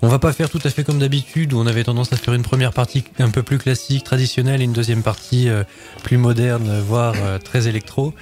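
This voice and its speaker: A man co-hosting a radio music show, talking quickly and chatting with some fillers, at times reading out a synopsis. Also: medium pitch, fairly even intonation, darkish tone. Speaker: radio co-host. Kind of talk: casual radio chat, reading a film synopsis.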